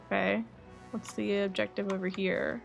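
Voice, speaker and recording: Woman, gaming livestreamer, casual thinking-aloud chatter, mid pitch, some vocal fry, faint music behind. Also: moderate pace. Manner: thinking aloud, animated exclamations. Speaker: livestreamer playing a game. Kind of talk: casual gaming stream chat, reading quest dialogue aloud. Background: faint game music.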